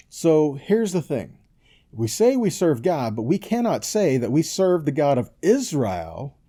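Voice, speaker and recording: A man with a fairly high-pitched yet very soft-edged, dark voice delivers a conversational sermon. His intonation swings widely as he drives points home. He teaches emphatically, posing questions and adding short check-ins for his listeners. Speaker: Bible teacher.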